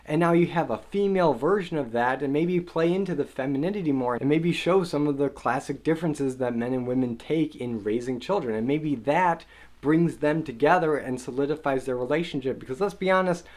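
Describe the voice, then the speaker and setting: A man's voice: fairly high pitch, brisk, a little mellow. A man talking through a spoiler review of a superhero movie, asking a string of questions about the plot and sounding mildly exasperated with it. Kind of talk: spoiler film review monologue.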